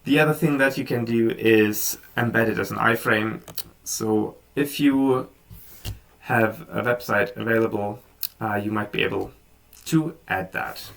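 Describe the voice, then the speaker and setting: A German man with a gravelly, creaky voice walks through a screen-recorded software tutorial in a relaxed, unscripted way, full of ums and small restarts. He sits a bit back from the microphone, so his voice has some distance to it. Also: fairly low pitch, a little slow.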